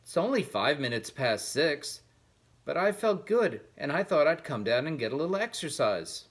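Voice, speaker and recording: A man's voice: a little high, big pitch swings, moderate pace, husky. A man voicing a small, earnest, boastful boy mouse from a storybook, eager in manner. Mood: cheerful.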